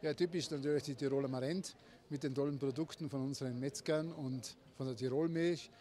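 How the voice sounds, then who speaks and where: A man talking slowly in an interview, hesitating as he lists things. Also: mid-range voice, fairly even intonation, warm tone. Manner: slow, hesitant listing. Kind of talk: interview answer.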